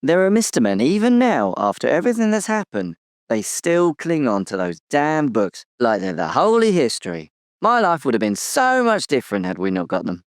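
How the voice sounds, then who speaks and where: A man's voice: mid-range voice, huge pitch swings, moderate pace, no echo, studio quality. A British man playing a tiny, aggrieved, boastful cartoon-book character in an audio drama interview, chatty and colloquial. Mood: grumbling, candid.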